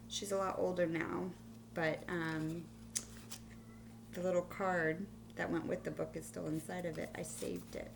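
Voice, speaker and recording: A woman's voice: on the low side, flat delivery, measured pace. A woman teacher giving a read-aloud lesson to children, explaining instructively and thinking aloud with questions to her listeners. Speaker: elementary school teacher. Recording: clearly audible hum and background noise.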